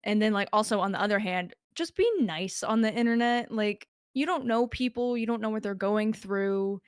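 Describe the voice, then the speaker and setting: A woman's voice: mid-range voice, steady tone, moderate pace, clear, resonant tone, no room sound, clean studio sound. A young woman as a podcast guest, talking in a chatty way with lots of filler words. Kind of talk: casual podcast conversation.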